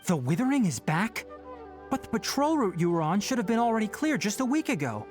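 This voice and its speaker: A young forest ranger for a video game, serious and responsible. His intonation moves widely, yet the delivery stays measured and instructive, with music underneath. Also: sits high, big pitch swings. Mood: concerned, decisive. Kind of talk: English video game voice acting.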